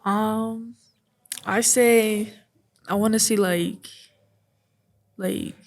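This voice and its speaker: A woman's voice: mid-range voice, steady tone, drawn-out words. A young woman co-hosting a youth podcast, chatting casually and a little hesitantly, often saying "like". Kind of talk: casual personal chat.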